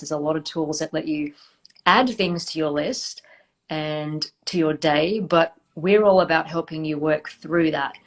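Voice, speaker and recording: Woman, low voice, founder guest, video call, measured, thoughtful. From Australia. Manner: thoughtful, measured, with hesitant fillers. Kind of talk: interview answers.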